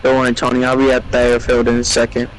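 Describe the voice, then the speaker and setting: A man's voice: mid-range voice, completely flat delivery, quick pace, slight background noise, poor audio. A man playing an online flight game with a friend, bantering back in a competitive, casual way. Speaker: friend and co-player. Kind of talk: casual gameplay chat.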